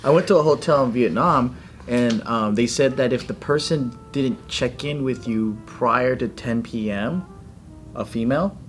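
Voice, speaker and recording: A man cohosting a casual panel chat, dropping crude deadpan jokes. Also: mid-range voice, unhurried, faint music behind. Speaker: co-host.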